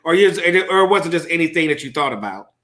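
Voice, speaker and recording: Interview host, man, late thirties, high bright voice, animated and chatty. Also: fast. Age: 30s. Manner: animated, laughs often, lots of fillers. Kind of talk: casual interview.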